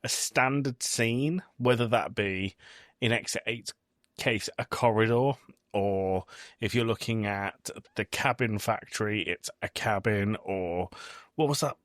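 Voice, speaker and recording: A man's voice: lowish voice, a little slow, fairly bright tone, no echo, clean studio sound. A man in his thirties with a British accent, hosting a podcast in casual conversation. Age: 30s. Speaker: podcast host.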